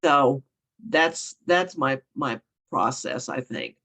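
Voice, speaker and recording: Woman author, hoarse and froggy from a cold, casual rambling chat. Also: rumbling low, animated, slow, fairly bright tone. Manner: rambling, self-correcting, chuckling often. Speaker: guest author.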